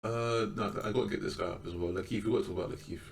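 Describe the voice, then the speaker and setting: A young man co-hosting a casual podcast, excitable and loud in his reactions, swearing often. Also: on the low side, rapid-fire, gravelly, slight background noise. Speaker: podcast co-host. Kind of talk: casual chat.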